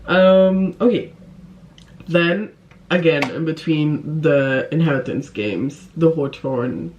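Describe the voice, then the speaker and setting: A woman's voice: deep voice, a little mellow, a little hiss or hum. Informal, effusive woman book vlogger. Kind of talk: casual chat to camera.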